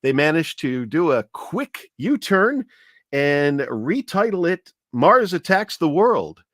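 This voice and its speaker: A man giving an informal, explanatory history talk with anecdotes, speaking in a measured, hesitant way as a commentator. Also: a little high, sing-song, a bit bright, no room sound, clean studio sound.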